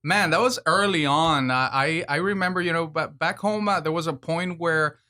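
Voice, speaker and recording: A man in his thirties from Venezuela, a guest chef chatting casually in an interview, relaxed and laughing often between fillers. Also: on the high side, moderate pace.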